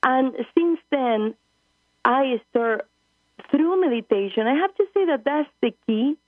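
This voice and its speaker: Argentine woman, forties, earnest, Spanish-native, higher voice, tinny dry phone line. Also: animated, a little slow, no room sound. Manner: earnest, searching for English words. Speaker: guest, spiritual travel leader. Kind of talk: phone-in interview.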